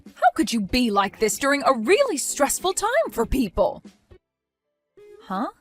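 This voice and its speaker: A woman's voice: high-pitched, huge pitch swings, a little slow, soft background music, full character voice. A woman playing a furious scolding older woman. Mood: indignant. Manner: accusing, scolding. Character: furious indignant older woman caring for a baby.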